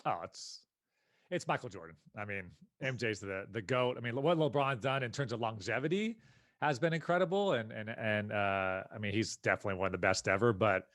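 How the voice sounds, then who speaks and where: A man's voice: fairly low pitch, brisk, fairly bright tone, dry recording, studio quality. A man with an American accent answering interview questions in a casual, emphatic, opinionated way as a podcast guest. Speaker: podcast guest, company founder and CEO.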